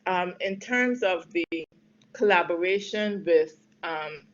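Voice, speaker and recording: A woman's voice: lowish voice, takes it slowly, muffled recording. A woman from Barbados answering audience questions on trade and health policy in a webinar, thinking aloud with fillers and firm emphasis at times. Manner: thoughtful, many fillers and restarts, emphatic in places. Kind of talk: spontaneous expert Q&A on a webinar.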